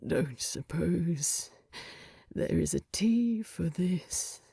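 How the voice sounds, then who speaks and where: A woman voicing a proud, gruff male dwarf king with a low, rasping, breathy voice in a fantasy story. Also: lively intonation, very slow, mellow, no echo, studio quality, exaggerated voice. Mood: weak, dying.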